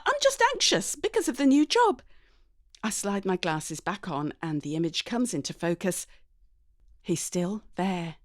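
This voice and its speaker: A woman reading a first-person story aloud in an expressive, dramatic way. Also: lowish voice, no echo, studio quality. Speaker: story reader.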